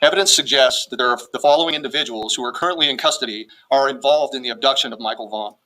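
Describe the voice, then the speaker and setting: A man's voice: mid-range voice, quick pace, pushed and bright, poor audio. A man reading out a formal press statement as a police spokesman, in official wording.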